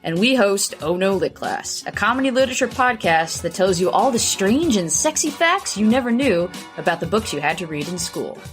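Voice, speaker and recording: A woman co-host delivers a scripted promo for a comedy podcast in a bantering style. Her pitch is middling, and music plays faintly underneath. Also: big pitch swings, normal speed, hardly any pauses.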